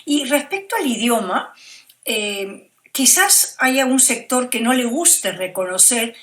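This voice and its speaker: A woman's voice: a little high, animated, takes it slowly, fairly bright tone. A woman with a Peruvian Spanish accent giving opinions as an academic interview guest, reflective and often checking for agreement. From Peru. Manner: reflective, often seeks agreement with tag questions.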